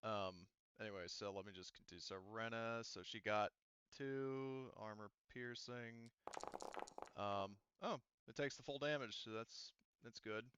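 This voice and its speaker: A man acting as game master in an online roleplaying session, thinking aloud and haltingly working through rules and dice. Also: on the low side, a bit bright, clean tone, muffled recording.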